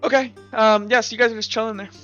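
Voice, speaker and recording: Male game master, fairly high voice, loose casual narration, music underneath. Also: fairly even intonation, fast talker, pushed and bright, dull audio.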